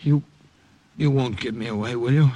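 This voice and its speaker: A man's voice: medium pitch, dark tone, muffled recording, lightly acted. Man acting a hunted, earnest American captain. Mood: weary, desperate. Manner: halting, repeats words in fragments. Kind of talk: old-time radio drama acting. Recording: old radio recording, faint music behind.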